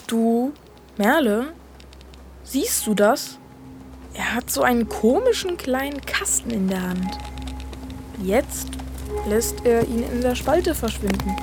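A woman's voice: on the high side, animated, takes it slowly, long pauses, a little mellow, faint music behind. A woman playing a cautious, anxious child, a timid sidekick, in a children's audio drama. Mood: wary. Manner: hesitant, worried, doubting.

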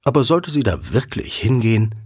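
A man's voice: medium pitch, very animated, moderate pace, a little mellow, husky, no echo, studio quality. A man narrating a scripted audio drama as a storyteller.

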